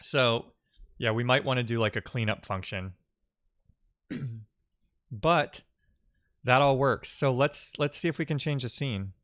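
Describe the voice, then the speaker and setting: A man streaming live coding, talking casually and haltingly as he thinks aloud and mutters to himself while he works. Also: mid-range voice, fairly bright tone.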